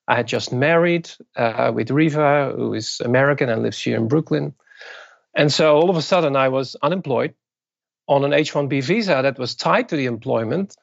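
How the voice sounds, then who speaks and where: A middle-aged man from the Netherlands with a Dutch accent, speaking as an interview guest in a thoughtful way with many pauses. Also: mid-range voice, vocal fry, dry recording, dull audio. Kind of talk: interview conversation.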